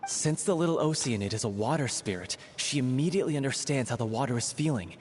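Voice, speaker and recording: A man's voice: medium pitch, fast, a little mellow, lightly acted. Man as an earnest troupe actor, noisy background. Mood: solemn storytelling. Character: earnest grieving young theatre troupe actor, heartfelt storyteller. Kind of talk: video game voice acting.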